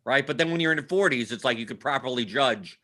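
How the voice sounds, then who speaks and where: A man's voice: mid-range voice, lively intonation, quick pace, bright, forceful tone. A man in his early forties hosting a casual livestream sports show, repeating himself and tagging sentences with "right?" in a self-deprecating, complaining way. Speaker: show host. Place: indoors at a computer on a split-screen video call.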